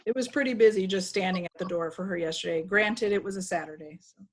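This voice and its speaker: A woman's voice: fairly low pitch, fast talker. A woman trainer giving an informal, instructive walkthrough to staff over a video call. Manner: instructive, conversational. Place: video call with screen sharing.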